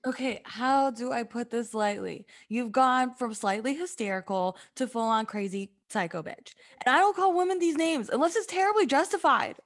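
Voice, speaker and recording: A woman's voice: fairly high pitch, moderate pace, few pauses, lightly acted. A woman playing a blunt, exasperated, foul-mouthed young friend in a comedic radio play, with modern slang and sassy emphasis. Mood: firm, blunt.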